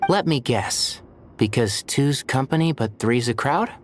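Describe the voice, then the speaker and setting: A man's voice: medium pitch, animated, measured pace, slight background noise, natural voice. A man playing a clever, observant young man in a video game, with a dry, wry manner.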